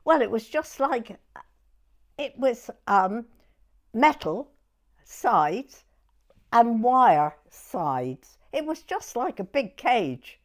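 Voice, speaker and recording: A woman's voice: on the high side, sing-song, extremely slow, pauses often. A woman in her nineties with a southern English accent, reminiscing hesitantly in an interview, often repeating herself and trailing off. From Weymouth, Dorset, England. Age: 90s. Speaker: oral history interviewee.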